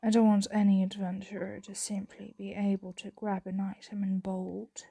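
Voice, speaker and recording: Woman doing a doting possessive dragon lady, asmr roleplay, soft n creaky, super close dry sound, whispery. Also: mid-range voice, level tone, no echo. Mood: musing. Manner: slow, deliberate, often dropping into whispers. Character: possessive, doting female dragon hoarding a human captive.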